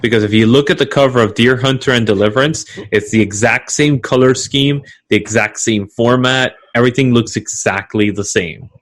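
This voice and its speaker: A man's voice: mid-range voice, moderate pace, hardly any pauses. A man co-hosting a podcast, talking casually with blunt, crude opinions. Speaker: podcast co-host. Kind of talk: casual chat.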